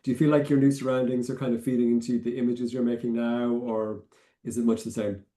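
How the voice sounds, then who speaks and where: A man's voice: medium pitch, little pitch movement, fast, mellow. A man moderating an artist conversation as curator and interviewer, in an echoey recording.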